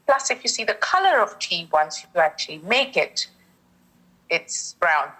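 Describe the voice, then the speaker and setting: Middle-aged woman with a husky edge. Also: lowish voice, very animated, a little slow, pushed and bright, poor audio. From Britain, London. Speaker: interviewee recalling childhood. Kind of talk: reflective personal recollection.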